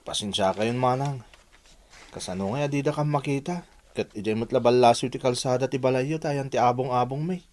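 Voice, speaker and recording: A man's voice: mid-range voice, a little fast, a little mellow, natural voice. A man acting as a poor, polite laborer in an Ilocano radio drama, with a Filipino accent and a deferential, apologetic manner. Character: poor, polite, humble married male laborer.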